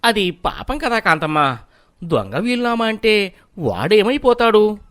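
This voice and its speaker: A man's voice: sits high, very animated, unhurried, fairly bright tone, dry recording, studio quality, exaggerated voice. A man playing an elder brother and henpecked husband in a moral story, talking in a placating way. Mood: hesitant, placating.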